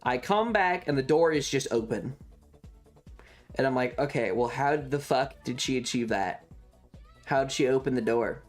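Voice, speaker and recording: Guy streamer rambling a story, lots of swearing, kinda exasperated, average pitch, music in the back. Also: unhurried. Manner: sweary, exasperated, acts out reported dialogue. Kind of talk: casual rambling storytelling on a livestream.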